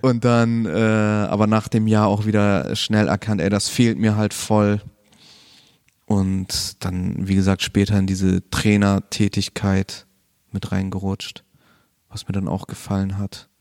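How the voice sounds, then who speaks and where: A man's voice: on the low side, unhurried, clear, resonant tone, no echo, clean studio sound. A man, chatting casually as a podcast guest with slang and fillers. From Bremen, Germany. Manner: relaxed, laid-back, peppered with slang and fillers. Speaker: podcast guest, martial arts trainer. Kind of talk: casual chat.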